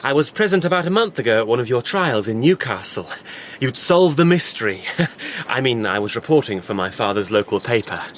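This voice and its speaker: A man's voice: medium pitch, very animated, brisk, slight background noise, close to an everyday voice. An actor playing an eager, awkward young reporter who fumbles his words. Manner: eager, fumbling, nervous laughs. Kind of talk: radio drama acting.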